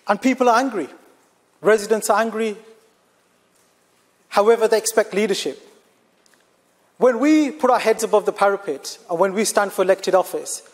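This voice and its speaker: A man giving an emphatic, formal political speech as a city councillor. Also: sits high. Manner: emphatic, oratorical, repeating phrases for effect. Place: large indoor council chamber. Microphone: gooseneck desk microphone. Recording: reverberant hall sound.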